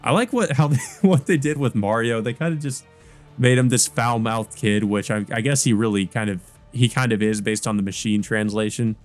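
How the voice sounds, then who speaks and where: A man's voice: medium pitch, moderate pace. A man doing a let's play, reading visual novel narration aloud and adding casual commentary of his own. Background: game music.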